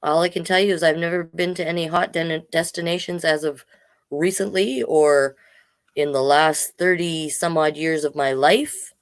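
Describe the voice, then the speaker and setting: A woman's voice: low voice, fairly even intonation, moderate pace, dry recording. A middle-aged woman chatting casually as a storytime host, with playful, self-deprecating asides. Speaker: drag king host reading children's books. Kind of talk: casual livestream chat and storytime reading.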